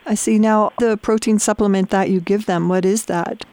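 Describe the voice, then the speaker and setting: A woman's voice: mid-range voice, fairly even intonation, normal speed, darkish tone. A woman hosting an interview, reading scripted introductions and asking curious questions. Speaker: host.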